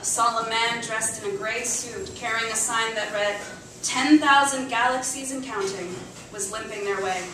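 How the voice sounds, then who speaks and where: Bright-voiced woman reading in an echoey venue. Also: a little high, normal speed. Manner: expressive, acting out chants and dialogue. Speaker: reader at a live literary show. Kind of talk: dramatic short story reading. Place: indoor cafe venue reading before an audience. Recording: echoey live venue, distant mic, faint noise.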